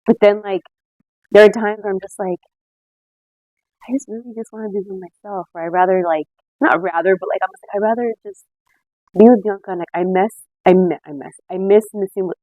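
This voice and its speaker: A woman's voice: mid-range voice, fairly quick, no room sound, rough recording. A woman in her late thirties, slightly buzzed, chatting casually with friends and acting out what people said as she tells stories. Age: late 30s. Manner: animated storytelling with acted-out quotes, lots of "like". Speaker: podcast co-host. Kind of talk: casual boozy chat among friends.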